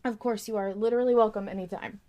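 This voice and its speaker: Woman hosting a casual podcast, medium pitch. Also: steady tone, fast, dark tone. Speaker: podcast host. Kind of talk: casual fan discussion podcast.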